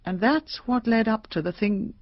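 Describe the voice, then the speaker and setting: An actress playing a shaken middle-aged British mystery novelist in a radio drama, talkative, hesitant and confiding. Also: female voice, fairly high pitch, moderate pace, no echo, natural voice. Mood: earnest, confiding. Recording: slightly dull, dry radio recording.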